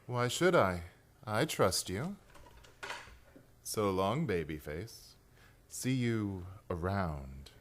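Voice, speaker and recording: An actor playing a smug, menacing crook with mocking charm in a suspense radio play. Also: male voice, low voice, lively intonation, drawn-out words, pauses often, a little hiss or hum, exaggerated voice. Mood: mocking, breezy. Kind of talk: suspense radio drama acting.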